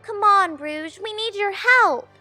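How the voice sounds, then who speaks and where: A woman's voice: very high voice, slow pace, bright, forceful tone, soft background music, exaggerated voice. A woman acting a sweet, girlish young hedgehog in a fan audio drama. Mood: pleading.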